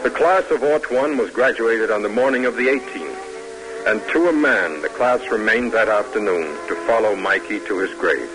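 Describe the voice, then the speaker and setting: A man's voice: lowish voice, animated, normal speed, rough recording. An American man narrating an old-time radio drama in a solemn, stately, oratorical way. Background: music.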